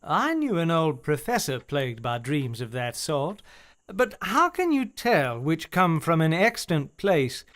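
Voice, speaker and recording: Gentleman scholar, curious, courteous, formal, lively intonation, slightly high pitch, radio play. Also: a little slow. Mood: inquisitive. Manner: polite, inquisitive, formal.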